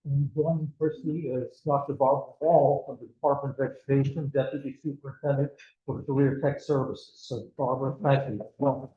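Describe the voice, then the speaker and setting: A man's voice: medium pitch, fairly even intonation, fairly quick. A man serving as club secretary, introducing guests in a friendly, plain-spoken way. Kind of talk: meeting announcements. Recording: dull echoey room with faint noise.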